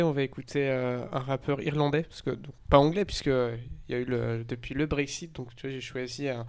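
Clear-voiced man introducing music. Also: mid-range voice, darkish tone. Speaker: radio music presenter. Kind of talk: casual radio presenting.

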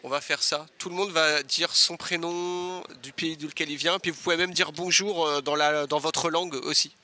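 A man's voice: a little high, steady tone, moderate pace, sharp tone. A man running a radio workshop, patiently asking a group of students questions in turn. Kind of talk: hosting a group introduction. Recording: busy crowd chatter behind.

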